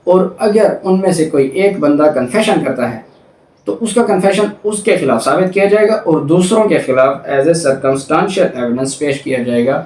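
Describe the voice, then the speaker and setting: A man's voice: on the high side, darkish tone. Male Pakistani lecturer, explanatory and didactic, English terms mixed in; recorded away from the mic in an echoey room. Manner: didactic, alternating between Urdu explanation and English legal terms. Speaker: law lecturer. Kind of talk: explanatory lecture on legal articles. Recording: echoey room, distant microphone.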